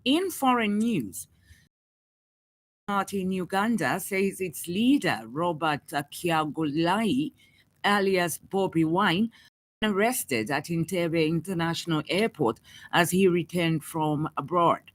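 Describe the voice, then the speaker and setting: A woman's voice: fairly low pitch, slow pace. Zambian woman reading radio news over music. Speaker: news reader. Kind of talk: scripted radio news bulletin.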